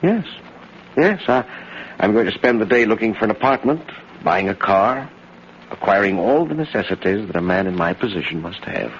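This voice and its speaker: A man's voice: fairly low pitch, sing-song, normal speed. A greedy, jovial American con man with smug, breezy delivery and swooping intonation. It is a vintage radio drama, dull in tone with some hiss. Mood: breezy, demanding. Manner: breezy, self-satisfied, chuckling. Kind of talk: old-time American radio thriller acting. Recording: noisy, muffled vintage radio broadcast.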